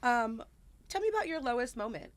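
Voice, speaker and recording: A woman's voice: fairly high pitch, sing-song, fairly quick, dry recording. Upbeat encouraging woman host. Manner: upbeat, encouraging, casual slang. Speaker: podcast host. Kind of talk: casual interview chat.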